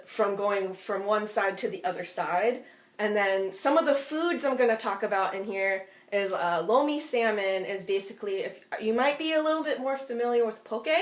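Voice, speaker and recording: A woman's voice: mid-range voice, fairly bright tone. A woman from Hawaii, a musician, chatting casually between songs in a rambling way, with restarts and light laughs. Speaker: musician and performer. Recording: roomy echo with faint ukulele.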